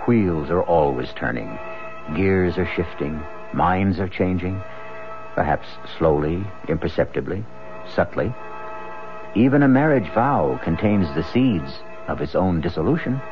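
Theatrical guy narrating old radio mystery, gravelly, dull recording w music under him. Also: low-pitched, animated. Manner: theatrical, deliberate, ominous. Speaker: radio drama host and narrator. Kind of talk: scripted 1970s radio suspense narration.

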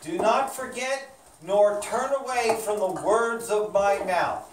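Slow, echoey puppet show narrator. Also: medium pitch. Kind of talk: scripted storybook narration. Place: small indoor meeting room with audience of children. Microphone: distant camera microphone. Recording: echoey room, distant, background hiss.

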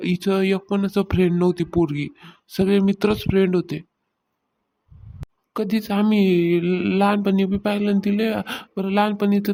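A gossiping, meddling village woman from a comedy cartoon, acted by a woman. She has a rural Maharashtrian accent and a fairly even, middle-pitched voice. She keeps needling as she insists on telling her tales. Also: natural voice. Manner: taunting, insistent storytelling. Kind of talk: Marathi comedy cartoon dialogue.

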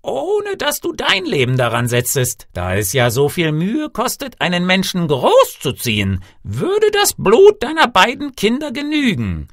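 Guy doing a stately old bearded man from a fairy tale, solemn, voice pitched way up n swinging around. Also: wildly swooping pitch, measured pace, hardly any pauses, fairly bright tone, dry recording, studio quality, full character voice. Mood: solemn bargaining. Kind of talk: storybook character voice.

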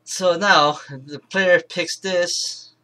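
A man presenting an informal software tutorial, thinking aloud in a halting, rambling way and correcting himself as he goes. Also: high-pitched, steady tone, rough recording. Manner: halting, rambling, self-correcting, mumbled asides. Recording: clear background noise, keyboard and mouse clicks.

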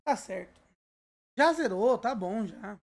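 A man's voice: high-pitched, expressive, creaky. A man hosting a casual gaming livestream, bantering playfully with his co-host and chat. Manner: playful, bantering, quick to laugh. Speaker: streamer host.

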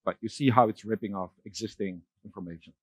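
A middle-aged man giving a conference keynote on a large dark stage, talking loosely with fillers and restarts. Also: on the low side, fast, slightly rough, no echo. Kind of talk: keynote talk with slides.

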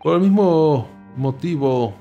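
A man's voice: a little high, expressive, slow pace, dull audio, soft background music. A man reading as an aggrieved young student. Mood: indignant. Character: earnest young male student abroad, aggrieved.

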